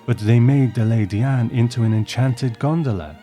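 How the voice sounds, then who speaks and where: A man voicing a gracious, refined old married woman with measured, reflective phrasing, in a storybook reading. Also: mid-range voice, dark tone, soft background music, natural voice. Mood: tender. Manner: measured, ornate, philosophical phrasing.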